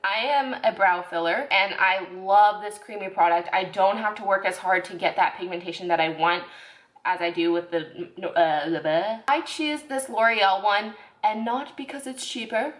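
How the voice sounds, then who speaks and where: A woman's voice: medium pitch, moderate pace. A young woman casually reviewing beauty products, chatty and self-correcting, with lots of "like"s. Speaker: beauty vlogger. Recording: echoey room.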